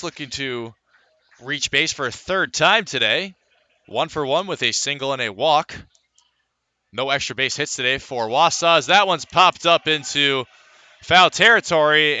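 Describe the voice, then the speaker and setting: A man's voice: a little high, sharp tone. A man calling live baseball play-by-play for radio, in clipped phrases with dramatic calls.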